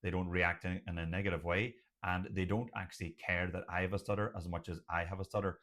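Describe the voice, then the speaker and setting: Low-voiced man giving an earnest motivational talk. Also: level tone, a bit bright. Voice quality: stutter. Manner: earnest, emphatic, repetitive phrasing in short measured phrases. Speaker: doctor sharing personal experience of stuttering. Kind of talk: motivational personal talk to viewers.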